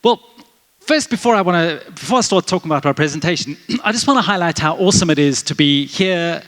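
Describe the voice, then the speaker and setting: A man's voice: on the high side, expressive, quick pace, a bit bright. A middle-aged man with a South African accent giving a chatty, self-deprecating conference talk on stage, full of fillers and restarts. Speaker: conference speaker, developer advocate. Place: large converted warehouse venue.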